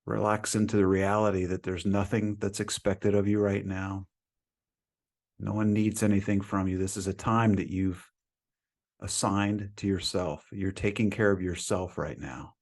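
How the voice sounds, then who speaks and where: A man leading a guided meditation as a teacher, speaking in a calm, soothing manner with long pauses between phrases. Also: lowish voice.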